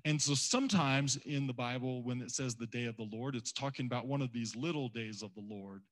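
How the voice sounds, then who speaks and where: A man's voice: medium pitch, brisk, a bit bright. A man preaching a sermon to a church congregation in a casual, conversational way. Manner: conversational, acts out quoted voices. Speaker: pastor.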